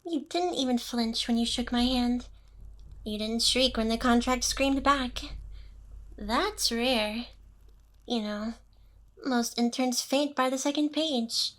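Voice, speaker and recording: A smug, possessive demoness boss, played by a woman in an intimate ASMR roleplay. Her voice sits a little high and moves very slowly, lingering in seductive pauses. She teases like an ancient ruler who is used to owning whoever is listening. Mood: teasing, intrigued. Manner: slow, teasing, seductive delivery with pauses.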